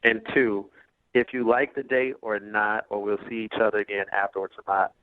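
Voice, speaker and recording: A man's voice: on the low side, steady tone, moderate pace, dry recording. A self-assured, brash man calling in by telephone, talking casually and persuasively. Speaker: phone-in guest on a dating segment. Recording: tinny, noisy phone line.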